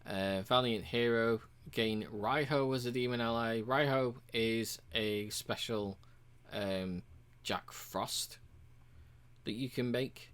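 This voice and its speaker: A man casually walking through a game's achievements, relaxed and rambling, with frequent ums and uhs. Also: medium pitch, takes it slowly, clear, resonant tone. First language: English. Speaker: game reviewer.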